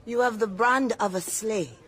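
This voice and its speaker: An actress playing a shrewd, imperious madam in a video game, with a theatrical, teasing manner. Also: female voice, a little high, a little slow, husky. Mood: probing, persuasive. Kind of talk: video game dramatic acting.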